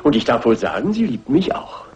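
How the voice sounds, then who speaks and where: An actor playing a gallant, earnest horse-loving gentleman suitor in a German radio crime drama, husky, courtly and sentimental. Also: mid-range voice, huge pitch swings, fast talker, lo-fi sound. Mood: earnest, courting.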